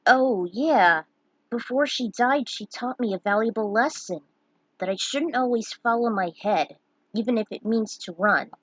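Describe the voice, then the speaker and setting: A woman's voice: fairly high pitch, a little slow, a bit bright, husky, dry recording, rough recording. A woman voicing a bashful young male hero who talks in an earnest, hesitant way.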